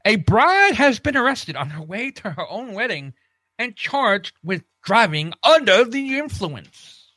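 Man, higher pitch, dry close sound, halting news reading, casual co-host, repeats himself. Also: very animated, sharp tone, no room sound.

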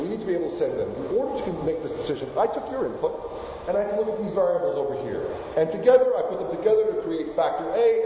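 A man's voice: a little high, noisy background. Man in an echoey hall. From Argentina. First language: Spanish. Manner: animated, asks the audience questions. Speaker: keynote speaker. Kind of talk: lively presentation to a live audience. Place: large hall. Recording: echoey hall, dull sound.

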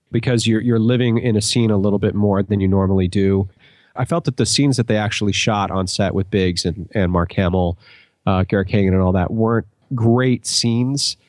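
A man's voice: fairly low pitch, a little mellow, no echo, clean studio sound. A man in casual, analytical podcast conversation, explaining things as a guest.

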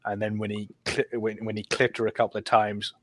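A man chatting casually on a podcast panel, recounting gameplay in a rambling, halting way. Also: fairly low pitch, completely flat delivery.

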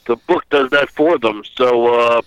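Bright, clear-voiced older man on a call. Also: mid-range voice, no echo, lo-fi sound. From Austria. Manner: rambling, halting with many fillers. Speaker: guest author. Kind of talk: phone interview. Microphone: internet call audio.

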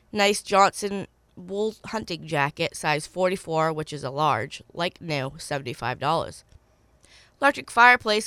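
A woman with a stuffy, congested voice hosts a local call-in radio show. Her voice sits slightly low and is recorded close and dry. She reads out items for sale and repeats phone numbers carefully. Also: a bit bright, clean tone, no room sound. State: stuffy from a cold. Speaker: radio swap-show host. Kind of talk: call-in radio hosting, reading listings.

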